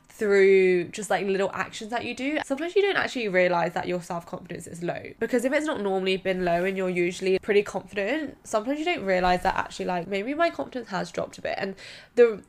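A woman in her twenties with a stuffy nose, hosting a casual solo podcast and giving chatty, encouraging advice full of "like" fillers. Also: medium pitch. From United Kingdom. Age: 20s. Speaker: podcast host.

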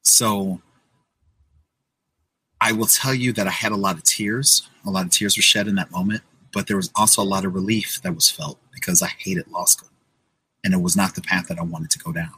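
An American man in his forties with a husky voice, telling his story casually as an interview guest, often checking in with the listener. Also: low voice, moderate pace, bright, forceful tone, a little hiss or hum, poor audio. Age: 40s.

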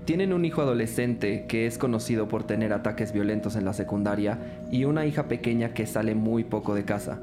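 A man's voice: lowish voice, a little fast, lightly acted. An actor playing a gossipy male school administrator, eager to please, formal and insinuating, in an audio drama with music behind. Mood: obliging, gossipy. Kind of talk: scripted audio drama dialogue.

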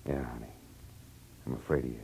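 An American actor playing a wry, tough private detective in a 1940s-style radio drama, with clipped delivery and first-person narration. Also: male voice, extremely low pitch, little pitch movement, brisk, mellow, breathy edge, full character voice. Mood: gently somber. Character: hard-boiled, wisecracking male private detective narrating his case. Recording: old radio drama with music.

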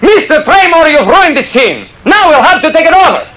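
Excitable, very high-voiced indignant man. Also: normal speed, exaggerated voice, poor audio. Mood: outraged, alarmed. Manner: excitable, emphatic. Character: agitated, indignant men caught up in a robbery. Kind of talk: classic radio drama acting.

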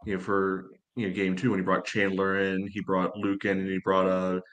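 An American man with a fairly low voice discusses sports as a podcast co-host. His delivery is informal and conversational. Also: completely flat delivery, moderate pace, a bit bright.

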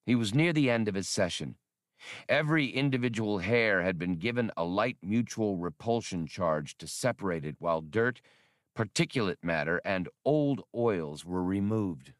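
A man's voice: on the low side, lively intonation, moderate pace, dry recording, clean studio sound. A man reading a scripted story as an audiobook narrator.

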